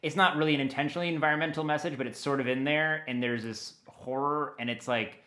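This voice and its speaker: An American man talking casually and enthusiastically as an interview guest, animated and given to rambling asides. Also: on the high side, fast. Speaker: interview guest, audio dramatist.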